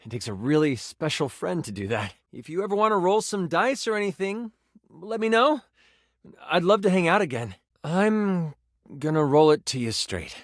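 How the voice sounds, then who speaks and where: A man's voice: high-pitched, lively intonation, moderate pace, dry recording, studio quality. An actor voicing a personified gaming die as a nerdy, earnest, awkward man who stammers and gets theatrical, in video game style. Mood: encouraging, appreciative, then guarded, regretful. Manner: hesitant, stammering, effusive, theatrical gamer talk.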